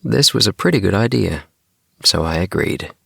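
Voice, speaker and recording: A man's voice: deep voice, animated, moderate pace, creaky, a bit bright, breathy edge, no echo. A man narrating a children's sleep story as an audiobook, recorded close up in a small booth. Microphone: close mic in DIY recording booth.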